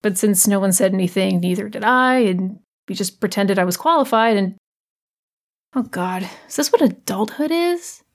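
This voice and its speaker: A woman's voice: medium pitch, lively intonation, normal speed, lightly acted. A woman playing a sarcastic, rambling teenage sound editor in a comedic audio drama. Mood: reflective, rambling. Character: teenage sound editor hosting a show, sardonic, self-doubting rambler.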